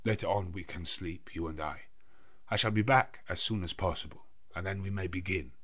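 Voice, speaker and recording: A man's voice: low-pitched, a bit bright. A man voicing a commanding older professor in an audiobook, speaking with grave, emphatic deliberation and urgency. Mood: commanding, urgent. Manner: emphatic, deliberate, ominous warnings. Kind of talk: dramatised audiobook character dialogue.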